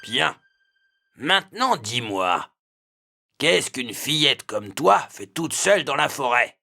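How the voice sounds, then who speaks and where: A man's voice: mid-range voice, sing-song, takes it slowly, vocal fry, pushed and bright, full character voice. A man voicing a villainous big wolf in a children's cartoon, theatrical and grumbling, with a coaxing, falsely reassuring manner.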